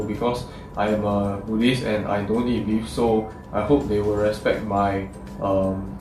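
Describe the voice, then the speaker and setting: A young man, a student, giving a thoughtful prepared reflection with the odd "right" dropped in. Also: lowish voice. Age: young adult. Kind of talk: prepared reflection, semi-read. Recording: echoey, distant mic with music.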